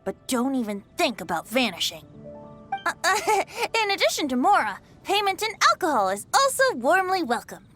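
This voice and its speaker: A woman's voice: high voice, wildly swooping pitch, normal speed, fairly bright tone, soft background music, exaggerated voice. A woman voicing a mischievous young male bard in a video game, theatrical, flowery and teasing. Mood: grandiose, cheerful. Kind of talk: animated video game voice acting.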